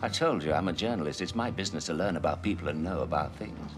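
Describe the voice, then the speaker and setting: A man's voice: sits low, lively intonation, fast talker. An actor playing a matey, probing male journalist in a British TV drama, fishing for information over drinks. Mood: genial. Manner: matey, probing, calls others boy. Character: chummy prying male Fleet Street journalist. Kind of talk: 1980s British TV drama acting. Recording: TV soundtrack with music underneath.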